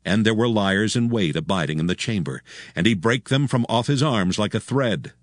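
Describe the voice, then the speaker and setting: A man's voice: fairly low pitch, expressive, normal speed, no room sound, clean studio sound. A man narrating scripted scripture as the storyteller of a dramatized Bible audio production.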